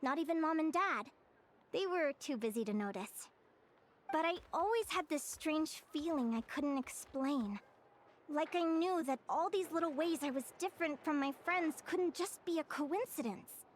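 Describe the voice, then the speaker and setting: A woman's voice: high voice, moderate pace, a little hiss or hum, close to an everyday voice. A woman voicing a cheerful, friendly young winged changeling girl in a video game. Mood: reflective storytelling. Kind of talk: English video game voice acting.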